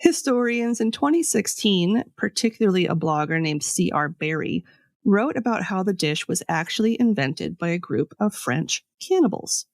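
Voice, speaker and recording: A woman podcast host telling a scripted story in a conversational way, with pauses for dramatic effect. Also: fairly low pitch, lively intonation, vocal fry, dry recording, clean studio sound. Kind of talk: scripted storytelling with casual asides.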